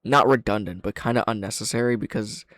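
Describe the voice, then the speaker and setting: A man's voice: on the low side, fast talker, fairly bright tone, no room sound, studio quality. A young man giving casual, frank commentary as a reaction video host and reviewer, opinionated and prone to swearing.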